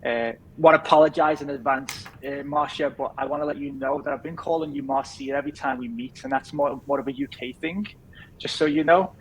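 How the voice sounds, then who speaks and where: A man in his thirties from England with a softened Liverpool accent, chatting easily as a podcast guest with lots of fillers. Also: a little high, fairly quick, a little hiss or hum, low-quality recording. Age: 30s. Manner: easygoing, rambling with many fillers. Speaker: podcast guest, business founder. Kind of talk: casual interview chat.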